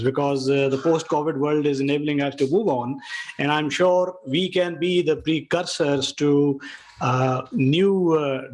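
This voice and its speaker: A man, head of a think tank, giving formal concluding remarks in an earnest, halting way. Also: fairly high pitch, low-quality recording. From Pakistan. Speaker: think tank acting president.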